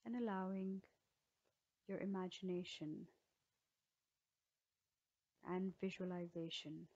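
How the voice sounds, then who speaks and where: A woman yoga teacher leading a guided healing meditation in a slow, soothing way with gentle pauses. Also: fairly low pitch, steady tone, breathy edge, dull audio.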